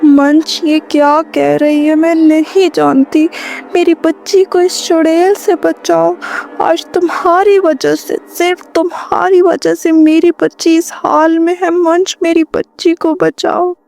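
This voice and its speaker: Woman voicing a frantic high-pitched mother. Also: little pitch movement, slow, vocal fry, darkish tone, exaggerated voice. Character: frightened young mother pleading for her child.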